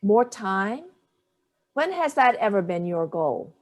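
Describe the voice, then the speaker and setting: Woman acting a sharp-tongued, sardonic mother. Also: mid-range voice, big pitch swings, slow. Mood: sarcastic, cutting. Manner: clipped, cutting, sarcastic. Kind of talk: staged play reading.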